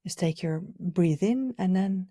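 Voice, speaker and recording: A woman leading a guided meditation in a gentle, soothing, unhurried way, her soft voice often trailing off toward a whisper. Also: lowish voice, expressive, no echo, clean studio sound. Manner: soothing, gentle, unhurried, with audible sighs and breathy pauses. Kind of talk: guided meditation, live session.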